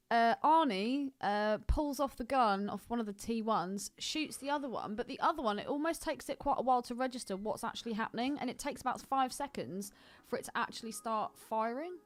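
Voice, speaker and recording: A woman's voice: on the high side, normal speed. A woman co-hosting a podcast, bantering casually about films in a blunt, teasing, sweary manner. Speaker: podcast co-host. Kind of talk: casual film chat banter.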